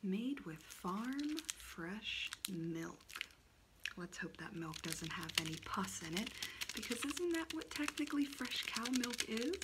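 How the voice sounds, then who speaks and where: A woman's voice: mid-range voice, big pitch swings, slow. A woman whispering as sassy Aunt Flow. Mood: teasing. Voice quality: soft whispering. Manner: teasing, cheeky. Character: sassy personified monthly period, a female aunt. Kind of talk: ASMR roleplay. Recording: noisy recording.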